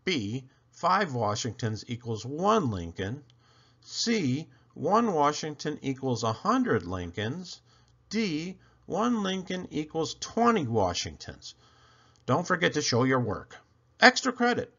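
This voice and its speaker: A man reading a children's picture book aloud in an animated storyteller style, with dramatic, emphatic phrasing and playful swoops. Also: a little high, slow pace, muffled recording.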